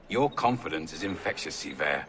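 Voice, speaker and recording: An actor playing a sardonic criminal conspirator in a video game, dry and full of contempt. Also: male voice, very deep voice, noisy background, full character voice. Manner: dry, sarcastic.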